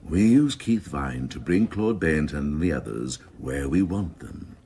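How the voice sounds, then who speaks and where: An actor playing a cynical, ruthless senior police officer in a radio crime drama, talking in a pompous, grandiloquent, sardonic way. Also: male voice, very deep voice, lively intonation, a little slow, warm tone, slight background noise, heavily acted. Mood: lofty scorn.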